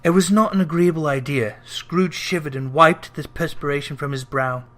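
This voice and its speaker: A man reading a classic tale aloud as scripted narration, with a measured, dramatic delivery. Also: fairly high pitch, fairly bright tone. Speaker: audiobook reader.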